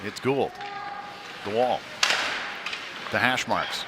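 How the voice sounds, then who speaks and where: A man's voice: lowish voice, slow. A man giving live play-by-play of a youth ice hockey game in short, clipped phrases that trail into asides. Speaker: play-by-play commentator. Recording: noisy rink with ambient clatter behind. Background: rink game noise.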